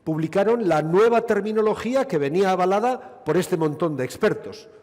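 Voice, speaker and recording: A man's voice: high voice, lively intonation, brisk, darkish tone. Basque-born man lecturing in a hall. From Basque Country, Spain. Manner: expansive, witty and rhetorical, with dry asides. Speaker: gynecologist giving a conference lecture. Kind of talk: conference lecture.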